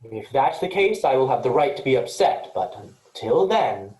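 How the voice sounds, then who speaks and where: A woman's voice: very deep voice, big pitch swings, moderate pace, dark tone, echoey room, poor audio. A woman playing an earnest, insistent, rule-abiding programmer aboard a spaceship in a virtual staged play reading. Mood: calm, resigned.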